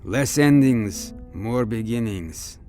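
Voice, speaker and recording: Guy playing older space captain, wry and good humoured, crackly gravelly voice, music behind, audio drama. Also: medium pitch, takes it slowly, a little mellow. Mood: consoling.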